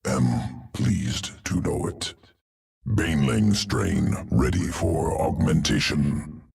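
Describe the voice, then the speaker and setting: A cold alien creature scientist in a game cinematic, with an extremely deep and heavily gravelly voice. He talks slowly in clipped, flat fragments. The voice sounds a little distant from the mic. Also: full character voice. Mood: clinical. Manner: drops small words, flat analytical.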